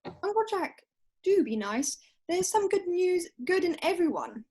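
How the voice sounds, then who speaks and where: A woman's voice: very high-pitched, sing-song, a little slow, a little mellow, exaggerated voice. A woman playing a sweet, bossy young Victorian girl, effusive and coaxing, in an amateur play reading. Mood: pleading, insistent. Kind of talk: amateur play read-through.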